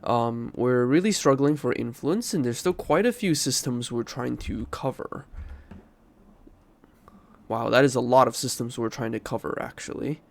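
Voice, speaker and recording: Gravelly-voiced man with a stuffy nose. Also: medium pitch, normal speed. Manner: thinking aloud, rambling, self-correcting. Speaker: game streamer. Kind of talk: casual gameplay commentary.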